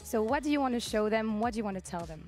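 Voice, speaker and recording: A woman's voice: fairly high pitch, animated, fast, darkish tone, soft background music. A woman interviewing a player on stage after a match, friendly and prompting with "right?". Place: on stage in an arena.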